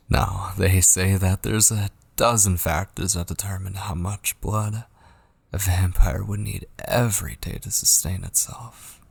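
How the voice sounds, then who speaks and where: A man voicing an obsessive, possessive kidnapper in an ASMR roleplay, sweetly menacing and coaxing, with a husky voice that often drops toward a whisper. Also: low voice, no room sound, heavily acted. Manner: slow, coaxing, teasing endearments. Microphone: close studio microphone, dry.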